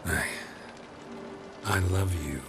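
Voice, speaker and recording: Stoic fantasy game hero: deep low register, dry wit, restrained and understated. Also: male voice, very slow, gravelly, music in the background, exaggerated voice. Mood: pleading. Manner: deadpan, terse, restrained. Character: stoic, dry-witted monster hunter, quietly devoted. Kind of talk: understated video game cutscene acting.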